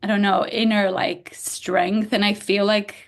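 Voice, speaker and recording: Gravelly-voiced woman, animated guest. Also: medium pitch. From Bulgaria. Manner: animated, frequent "like" fillers, quick laughs. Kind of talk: casual interview chat.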